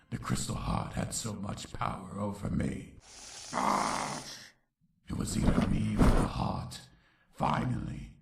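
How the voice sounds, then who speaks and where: A man voicing a brooding, vengeful shadow pony villain in a comic dub, with a gravelly voice and a theatrical delivery. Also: fairly low pitch, a little mellow, exaggerated voice. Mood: resolved. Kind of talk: dramatic comic dub narration and dialogue. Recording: roomy, distant, faintly noisy home recording.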